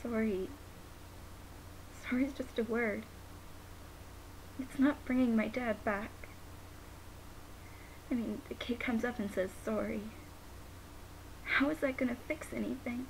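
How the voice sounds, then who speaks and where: A young woman playing a grieving, guilt-ridden daughter in a dramatic monologue, halting and sometimes nearly whispering. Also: on the high side, steady tone, low-quality recording. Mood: bitter hurt. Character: grieving, guilt-ridden daughter who lost her father. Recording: noticeable background hiss.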